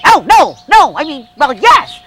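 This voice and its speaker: A woman playing a naive young alien child in a children's show, earnest and halting as the kid tells tall tales. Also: sits high, big pitch swings, very noisy. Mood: flustered boasting. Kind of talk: children's show character acting. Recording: distorted VHS transfer with music.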